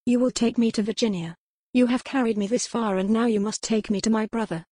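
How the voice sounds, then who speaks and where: A woman's voice: on the high side, steady tone, a little fast, warm tone, no echo, clean studio sound, voice pushed up, lightly acted. A woman voicing a proud young English noblewoman who speaks in a spirited, insistent way. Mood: defiant. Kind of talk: audiobook character voice.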